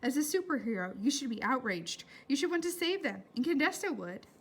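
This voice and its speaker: A woman's voice: sits high, big pitch swings, brisk, husky, pitched above the performer's own voice, heavily acted. A woman voicing an earnest, indignant young girl in a storybook, with emphatic, theatrical exclamations.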